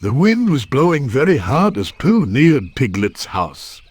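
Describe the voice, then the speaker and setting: A man narrating a children's story from a script in an expressive storytelling manner. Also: mid-range voice, measured pace, husky, no room sound.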